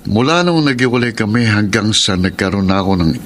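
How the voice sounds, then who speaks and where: Deep-voiced, troubled Filipino husband. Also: male voice, expressive, a little fast, fairly bright tone, slight background noise. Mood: confused, guilty. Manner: emotive, confessional. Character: troubled, guilt-ridden husband torn between two women. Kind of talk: Filipino radio drama acting and confessional narration.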